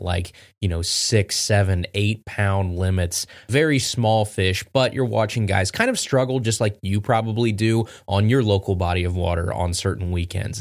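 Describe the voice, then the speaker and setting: A man with a somewhat low voice hosts his own fishing podcast, chatting loosely into a close mic. His nose is stuffed up from allergies, so his words come out a little thick and congested. He rambles easily from one point to the next. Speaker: podcast host. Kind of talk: casual solo podcast talk.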